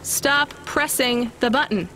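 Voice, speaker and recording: A woman's voice: fairly high pitch, slow, a bit bright, a little hiss or hum. A woman playing a young courier driver in a video game, with a dry, skeptical, understated delivery. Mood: wry, exasperated. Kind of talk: naturalistic scripted game acting.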